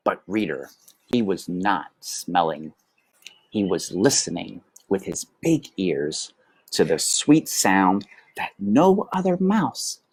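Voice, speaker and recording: A woman reading a children's book aloud as storyteller, with dramatic, deliberate phrasing. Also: extremely low pitch, darkish tone, breathy edge, no echo.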